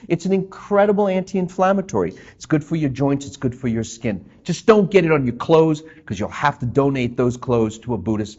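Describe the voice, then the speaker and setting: A man, a doctor, giving friendly health tips on a TV segment and talking to viewers as folks. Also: a little high. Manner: lively, chatty, addresses viewers as folks. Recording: dull lo-fi TV audio.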